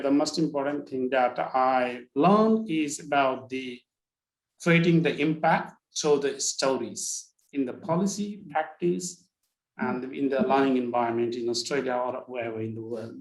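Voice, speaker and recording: Nepali-accented man, halting, in a roomy echo. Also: medium pitch. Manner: hesitant, heavy on fillers like "like, you know". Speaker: workshop participant. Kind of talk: sharing a personal story.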